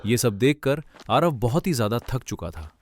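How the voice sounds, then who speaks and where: A man's voice: mid-range voice, sing-song, normal speed, noisy background. A man narrating a scripted cartoon story as a storyteller.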